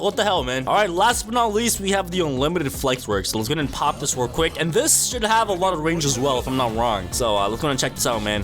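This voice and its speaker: A young man giving casual, excitable gameplay commentary to his viewers, keeps saying "bro", his voice hoarse and nearly gone. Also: a little high. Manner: excitable, says "bro" a lot, talks to viewers. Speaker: gaming YouTuber.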